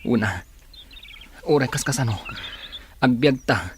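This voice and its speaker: A man's voice: medium pitch, animated, a little slow, a little hiss or hum, close to an everyday voice. A man with an Ilocano accent playing a helpful, practical castaway in an island radio drama.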